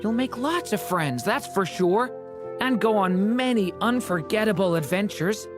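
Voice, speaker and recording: Woman as a kind, expressive young boy. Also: mid-range voice, unhurried, music in the background, lightly acted. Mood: reassuring. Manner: kind, earnest. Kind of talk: video game character acting.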